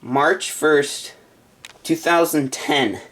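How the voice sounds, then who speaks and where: A man chatting casually and haltingly as he unboxes a gadget for a video, partly talking to himself. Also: fairly high pitch, fairly bright tone. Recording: clear background noise, crinkling and clicks. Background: handling noise, crumpling and clicks.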